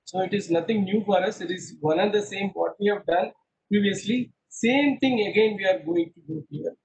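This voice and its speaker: A man's voice: sits high, normal speed, gravelly, a little mellow, slight background noise, low-quality recording. A middle-aged man teaching an online class over a video call, quizzing students and repeating himself, mixing English with Hindi. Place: small room indoors. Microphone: laptop webcam on a video call.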